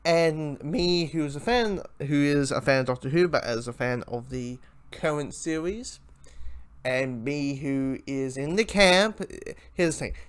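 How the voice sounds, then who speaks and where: Higher-voiced man rambling through a fan review. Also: clear, resonant tone. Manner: rambling, halting, with repeated words and false starts. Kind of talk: casual, unscripted fan review to camera or mic.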